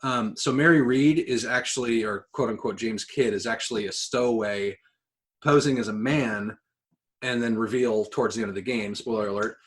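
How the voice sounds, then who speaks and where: A man's voice: medium pitch, normal speed. A man co-hosting a podcast, chatting casually and enthusiastically about games and films, with the odd swear word. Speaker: podcast co-host.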